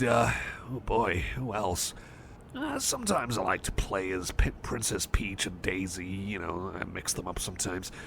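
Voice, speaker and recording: A man's voice: lowish voice, vocal fry, fairly bright tone, slight background noise, heavily acted. A man playing a shy, friendly cyborg chum in an ASMR roleplay, hesitant and rambling, often apologising and repeating himself. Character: shy, friendly male cyborg chum, eager but self-doubting. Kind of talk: ASMR personal-attention roleplay, soft one-sided conversation.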